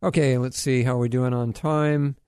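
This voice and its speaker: A man's voice: mid-range voice, fairly even intonation, normal speed, a little mellow, clean tone, dry recording, studio quality. Rambling man hosting a health show. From Hawaii. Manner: rambling, many fillers and restarts, corny jokes. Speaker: radio health show host. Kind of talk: casual radio health talk.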